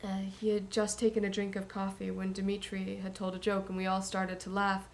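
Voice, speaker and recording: A woman's voice: mid-range voice, monotone, brisk, a little hiss or hum, natural voice. An actress playing a reflective woman giving a hesitant, confiding account in a naturalistic documentary interview style. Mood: shaken. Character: reflective woman recounting meeting her secret half-brother.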